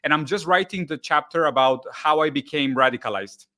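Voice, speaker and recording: Man, interview guest, crisp bright tone, loose and talkative. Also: on the high side, a little fast. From Brazil. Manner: relaxed, chatty, frequent restarts. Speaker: guest, content creator. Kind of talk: casual interview chat.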